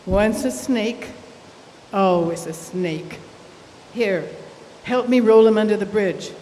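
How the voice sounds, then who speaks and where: Woman acting tough suspicious dame, earthy grammar, slow delivery, radio drama, reverberant room, faint noise. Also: medium pitch, dark tone, lightly acted. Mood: grim, decisive. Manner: blunt, earthy, folksy ungrammatical speech. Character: tough, suspicious woman smuggler guarding stolen gold. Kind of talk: old-time radio drama stage acting. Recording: echoey live hall, faint noise.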